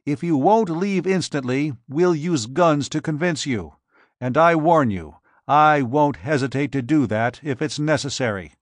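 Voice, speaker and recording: A man's voice: on the high side, animated, unhurried, no echo, clean studio sound, pitched above the performer's own voice. A man voicing a cold, curt male scientist who speaks in clipped lines.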